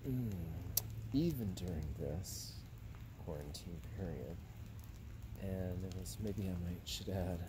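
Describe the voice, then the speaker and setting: A man in his fifties talking slowly and musingly while doing yoga in a forest, slightly out of breath, recorded on a phone camera set on the ground. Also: low voice, noisy background. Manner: slow, musing, drawn-out phrases with sighs and soft laughs. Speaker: yoga instructor. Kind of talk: meandering vlog talk while doing yoga. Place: outdoors in a forest.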